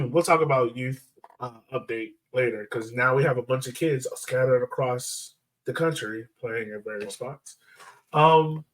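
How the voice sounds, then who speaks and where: A man's voice: mid-range voice, rough recording. Casual man hosting a sports podcast. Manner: informal, conversational, thinks aloud.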